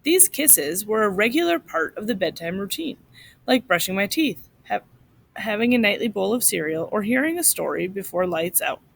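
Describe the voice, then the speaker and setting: A woman reading a short story aloud to children in an expressive, instructive way, like a teacher. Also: medium pitch, moderate pace, gravelly, slight background noise.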